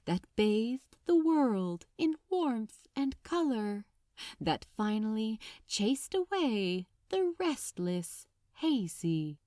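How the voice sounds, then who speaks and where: A woman reading a story aloud as a dramatic narrator, expressive and unhurried, lingering on her phrases. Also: fairly high pitch, no room sound, studio quality. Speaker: audiobook-style narrator of fan fiction.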